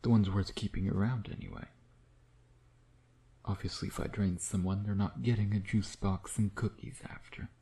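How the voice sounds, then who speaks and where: A man playing a smug, flirtatious vampire who dotes on his prey in an intimate ASMR roleplay, teasing and murmuring with breathy asides. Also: on the low side, fairly even intonation, moderate pace, warm tone. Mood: attentive, teasing.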